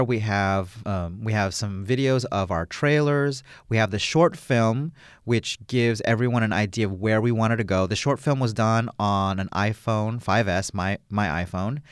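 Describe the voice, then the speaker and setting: Enthusiastic rambling man, low smooth voice. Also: no room sound, studio quality. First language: English. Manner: rambling and enthusiastic, with many fillers. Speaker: film director and producer. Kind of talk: casual interview answers.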